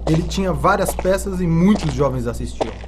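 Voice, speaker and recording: A man's voice: on the high side, lively intonation, moderate pace, close to an everyday voice. An amateur male student actor playing a stern, brave military sergeant in a radio drama. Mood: reminiscing. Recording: loud music behind.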